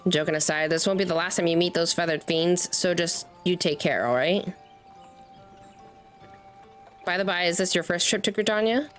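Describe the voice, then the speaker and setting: Woman reading as a chatty old folksy peddler, low-pitched. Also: fairly quick, natural voice. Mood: relieved, friendly. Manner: rustic, talkative, reading aloud with stumbles. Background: game music.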